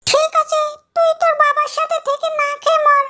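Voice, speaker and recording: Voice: very high-pitched, level tone, fairly quick, sharp tone, exaggerated voice. An actor voicing a bitter, fed-up fox wife and mother in a cartoon. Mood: angry, tearful. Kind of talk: Bengali cartoon voice acting.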